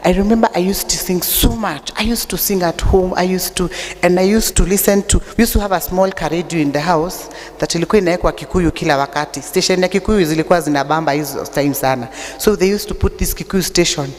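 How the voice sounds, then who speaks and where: A Kenyan woman with an unusually deep voice, preaching a sermon that mixes English and Swahili, telling stories with humor. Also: fast, a little mellow. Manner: chatty storytelling with humor. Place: church. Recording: long church reverb.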